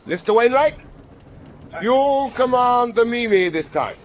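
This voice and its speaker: A man's voice: very high-pitched, animated, measured pace, slight background noise. An actor playing a pompous English naval commander, formally addressing his men in a radio drama. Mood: commanding.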